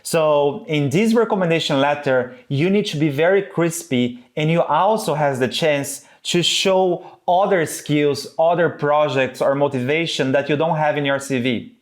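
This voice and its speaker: Male co-host and career coach, Brazilian accent, slightly high voice, non-native English phrasing, explainer-video delivery. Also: unhurried, few pauses. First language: Portuguese. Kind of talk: explanatory video guide to camera.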